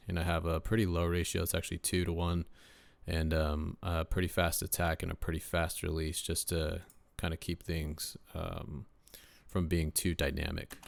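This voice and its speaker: A deep-voiced young man, a rapper casually walking through his mixing setup in a relaxed way, with lots of ums and uhs. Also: vocal fry, no room sound. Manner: laid-back, lots of uh and um fillers. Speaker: rapper and home mixing engineer. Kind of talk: casual screen-share mixing tutorial.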